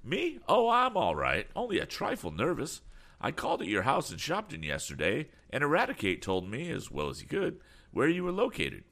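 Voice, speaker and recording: A man voicing an excitable, fussy, eccentric older gentleman in a storybook, chattering nervously with quaint exclamations. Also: on the high side, normal speed, pitched above the performer's own voice, heavily acted. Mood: flustered, surprised.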